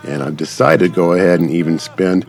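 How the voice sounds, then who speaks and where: A man's voice: deep voice, fairly even intonation, a little fast, a little mellow. A man giving casual, rambling gameplay commentary as a gaming YouTuber, wordy and informal. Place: voice-over on game footage. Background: music.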